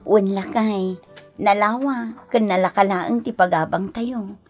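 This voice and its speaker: An actress with an Ilocano accent playing a long-suffering, respectful daughter-in-law in a radio drama. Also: female voice, mid-range voice, measured pace, soft background music, natural voice. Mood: calm.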